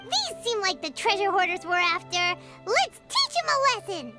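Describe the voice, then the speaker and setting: A woman voicing a tiny, feisty, bossy fairy girl in a video game, squeaky and childlike. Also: very animated, moderate pace, over loud music. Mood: eager, bossy. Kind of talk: cute anime game voice acting.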